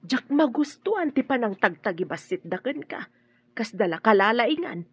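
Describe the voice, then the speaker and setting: An Ilocano-accented woman playing a proud, protective middle-aged mother in a radio drama, with a husky voice. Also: on the high side, big pitch swings, normal speed, exaggerated voice. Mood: indignant. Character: proud, protective mother sizing up her child's in-laws.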